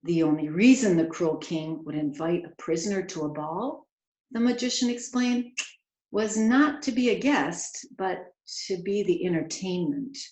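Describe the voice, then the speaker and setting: A woman's voice: lowish voice, expressive, measured pace, darkish tone. Echoey woman reading a storybook aloud. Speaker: children's author reading aloud. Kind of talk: expressive picture-book read-aloud.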